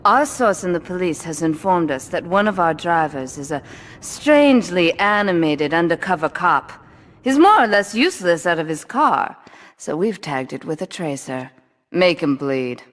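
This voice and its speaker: A woman playing a cool, ruthless gangster boss in a video game, composed, businesslike and quietly menacing. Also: lowish voice, expressive, moderate pace, lightly acted. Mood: coolly ruthless. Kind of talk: video game cutscene acting.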